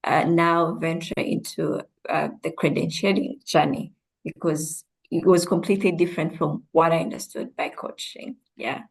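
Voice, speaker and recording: A woman from Rwanda speaks as a guest coach in an interview, her voice sitting fairly low. She explains things in a measured, reflective way and takes her time to lay out each point. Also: steady tone, creaky, low-quality recording. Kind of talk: interview answers.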